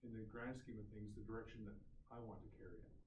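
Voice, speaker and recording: A man who won a speaking contest answers questions at a measured pace, his voice often tailing off quietly. He sounds distant in an echoey room, with faint noise behind him. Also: on the low side, fairly even intonation. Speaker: panelist, past contest winner. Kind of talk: panel discussion answer. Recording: echoey room, far from mic.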